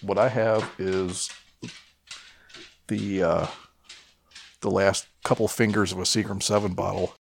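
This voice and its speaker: A man's voice: low-pitched, fairly even intonation, measured pace, gravelly, pauses often, a little hiss or hum. A man co-hosting a podcast in casual comic banter, with a profane, wry manner. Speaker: podcast co-host.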